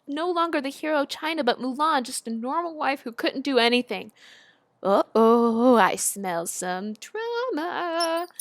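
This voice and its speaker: A woman's voice: high-pitched, no echo, clean studio sound. A young woman reading and commenting in a casual, informal, slightly amateur way, with a giggly manner. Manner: giggly, informal, stumbles over the text and rereads. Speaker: video host. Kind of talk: casual reaction commentary and amateur read-aloud of fan fiction.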